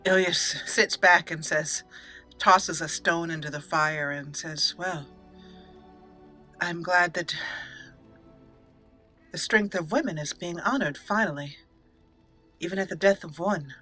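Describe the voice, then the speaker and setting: A woman hosting a video game let's play, giving in-character roleplay commentary in a dramatic, deliberate way with pauses. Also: on the low side, pushed and bright, soft background music.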